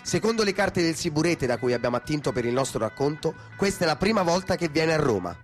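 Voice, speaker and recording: A man's voice: a little high, lively intonation, fast talker. A man reciting poetic, scripted narration for a radio play in a theatrical style, with music behind him.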